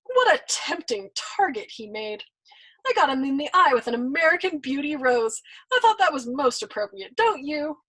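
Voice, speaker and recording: A woman's voice: sits high, very animated, moderate pace, fairly bright tone, voice pushed up, poor audio. Woman reading as a suave, mocking old man. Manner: teasing, smug and worldly.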